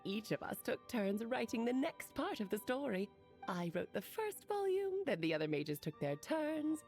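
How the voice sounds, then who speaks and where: Theatrical, high-voiced playful witch auntie. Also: very animated, moderate pace, a little mellow, faint music behind. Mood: storytelling. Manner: theatrical, flourishing storyteller. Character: Alice, playful theatrical grown-up witch, breezy eccentric auntie. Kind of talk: anime video game voice acting.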